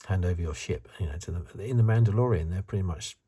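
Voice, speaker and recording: A man giving soft-spoken, half-whispered ASMR commentary in a husky, breathy voice, musing and rambling as he goes. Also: low voice, fast. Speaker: ASMR reviewer.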